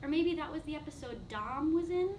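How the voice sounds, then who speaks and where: Woman acting a high-voiced, wry, anxious young woman. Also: fairly quick, background noise, lightly acted. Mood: pointed, probing. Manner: dry, hesitant, pointed. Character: anxious, wry 23-year-old woman, concerned and probing. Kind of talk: staged play reading. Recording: distant mic, audience sounds.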